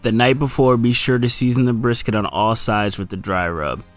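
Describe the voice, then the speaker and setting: A man's voice: mid-range voice, moderate pace. A man with a mid-range voice narrates how to cook a dish, talking the listener through each step in an easygoing, conversational way. Faint music runs underneath. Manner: casual, relaxed instruction with "you're gonna wanna". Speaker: family member demonstrating a recipe. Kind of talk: instructional voice-over.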